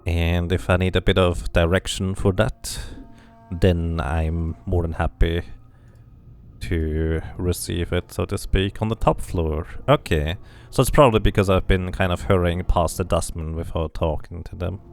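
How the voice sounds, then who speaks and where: A man's voice: sits low, steady tone, a little slow, faint music behind. A man doing a casual game playthrough, musing to himself and to viewers and reading narration aloud.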